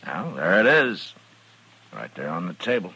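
Old radio western: wry marshal, deep voice with a hard bright edge, terse, steady authority. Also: male voice, unhurried, breathy edge, exaggerated voice. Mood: calm, probing. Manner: laconic, dry. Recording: vintage radio drama recording.

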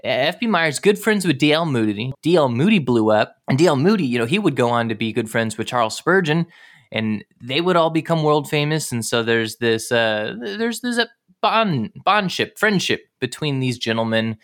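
A man's voice: mid-range voice, animated, normal speed, fairly bright tone. A man co-hosting a podcast in casual chat, rambling and rephrasing as he goes. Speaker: podcast co-host.

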